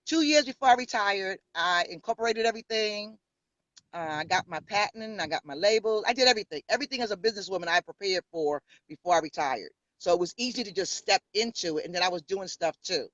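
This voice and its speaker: This is a woman in her fifties from New York who speaks with a New York accent. She tells her story in a bold, sassy way, and her voice is bright and forceful. The sound is close and dry, though a little muffled. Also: mid-range voice, animated, fast talker, dry recording. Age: 50s. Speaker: pastor and interview guest. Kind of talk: casual interview.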